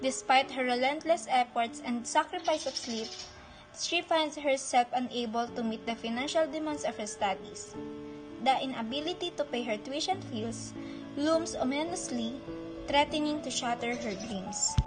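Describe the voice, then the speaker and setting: A woman's voice: sits high, normal speed, over music, poor audio. A woman with a Filipino accent reading scripted narration for a radio drama.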